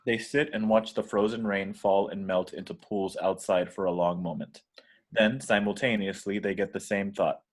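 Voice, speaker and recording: A man's voice: low voice, steady tone, moderate pace. A man reading screenplay stage directions as scripted narration in an amateur table read.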